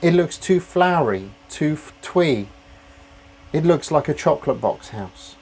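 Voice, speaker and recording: A man voicing Goldilocks, a picky young woman property developer, in a fussy, complaining manner and a raised pitch. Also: expressive, a little slow, darkish tone, slightly rough.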